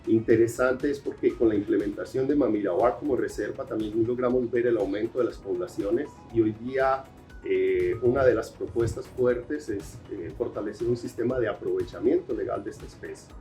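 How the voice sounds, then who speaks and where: A man's voice: on the low side, normal speed, warm tone, soft background music. A Colombian man with an accent tinged by years in Brazil, answering interview questions as a researcher, with many fillers and restarts. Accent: Colombian Spanish with Brazilian Portuguese traces. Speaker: researcher guest.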